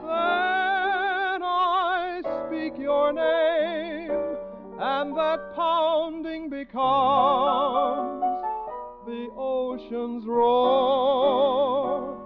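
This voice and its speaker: Slow high female singer over loud band. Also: level tone. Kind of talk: 1940s big band popular song. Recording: dull vintage radio recording, loud music. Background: loud band accompaniment.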